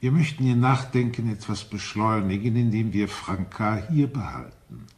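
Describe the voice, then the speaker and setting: Male actor as shrewd council spokesman, lowish voice, formal, deliberate, radio play. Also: expressive, darkish tone, close to an everyday voice. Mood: formal, insistent. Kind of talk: audio drama dialogue.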